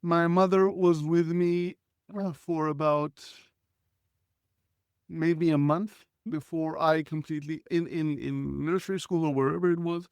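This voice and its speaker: High-voiced, smooth man in his forties. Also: level tone, measured pace, darkish tone, no room sound. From Israel. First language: Hebrew. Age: 40s. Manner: earnest, reflective, clears throat often. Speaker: guest. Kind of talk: conversational life storytelling.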